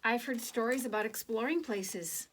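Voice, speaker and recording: Higher-voiced woman reading a children's story. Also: moderate pace, slight background noise. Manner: expressive, gentle, addressing children directly. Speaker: children's story reader for a church. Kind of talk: picture book read aloud, then informal reflection to children.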